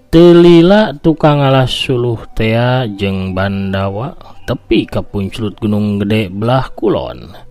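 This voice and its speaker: A man with a Sundanese accent telling a folk tale as host, in a theatrical, drawn-out radio-story manner. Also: fairly low pitch, expressive, a little mellow, no room sound, soft background music.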